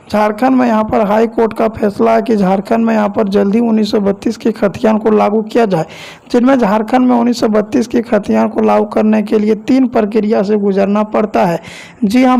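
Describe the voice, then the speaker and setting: Gravelly high-pitched man reading news. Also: dark tone. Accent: Jharkhand Hindi. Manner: repetitive, formulaic delivery with stock phrases. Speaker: news presenter. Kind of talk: online news voice-over.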